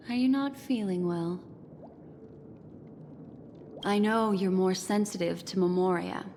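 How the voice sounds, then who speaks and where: A woman voicing a poised, enigmatic young memory keeper in a video game, with a measured, soothing and coy manner. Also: lowish voice, a little mellow, in a large hall, a little hiss or hum.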